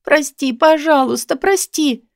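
A woman's voice: sits high, unhurried, creaky, no room sound, clean studio sound, voice pushed up. A woman voicing a terrified young woman in an audiobook drama, crying and making frantic, desperate pleas. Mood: tearful begging.

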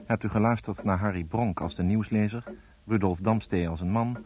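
A man's voice: on the low side, normal speed, darkish tone, a little hiss or hum. A man reading scripted radio drama credits as an announcer.

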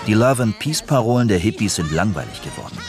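Casting: male radio documentary narrator, deep voice with animated intonation, music behind. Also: fast. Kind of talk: scripted narration.

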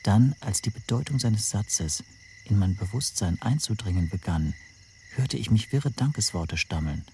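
The troubled narrator of a radio spy story. His voice is deep and soft-edged, measured and literary, with faint noise and chirping insects behind it. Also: lively intonation. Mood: rueful, reflective. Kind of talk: scripted radio drama narration.

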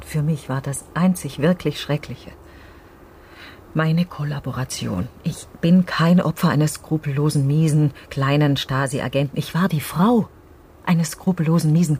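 An actress playing a bitter, guilt-ridden wife who speaks tersely and defiantly in a naturalistic radio drama. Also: female voice, sits low, darkish tone, slight background noise, lightly acted. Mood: self-accusing.

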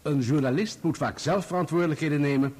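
An actor in a radio play playing a defensive journalist on the witness stand, giving formal, guarded answers. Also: male voice, on the high side, fast, a little mellow, close to an everyday voice. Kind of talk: courtroom radio play acting.

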